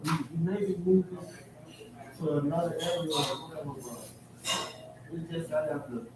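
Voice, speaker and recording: Man in his forties, rambling in echo. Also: fairly high pitch. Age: 40s. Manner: rambling storytelling with hesitations. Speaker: group member. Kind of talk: casual group sharing. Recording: very echoey room from far off, noisy.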